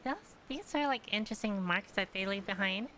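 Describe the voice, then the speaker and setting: A woman's voice: mid-range voice, a little fast, fairly bright tone, slight background noise. A woman giving casual gameplay commentary, thinking aloud in a hesitant way as she plays. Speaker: gamer, streamer.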